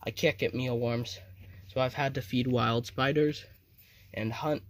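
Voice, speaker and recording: A young man casually narrating his own home video as a vlogger. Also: mid-range voice. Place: indoors in a room at home. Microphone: handheld phone behind the camera.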